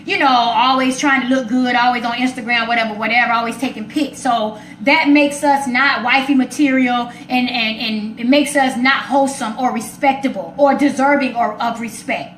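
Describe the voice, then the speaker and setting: High-voiced sassy woman in an echoey room. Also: fairly even intonation, normal speed, few pauses, fairly bright tone. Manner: sassy, confrontational, emphatic. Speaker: live stream host taking callers. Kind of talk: informal opinionated monologue to followers. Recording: echoey room with faint background noise.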